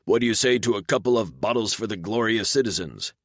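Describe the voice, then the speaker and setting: A man's voice: lowish voice, expressive, fast talker, husky, no echo, studio quality. A man voicing a burly, cheeky townsman wheedling for drinks.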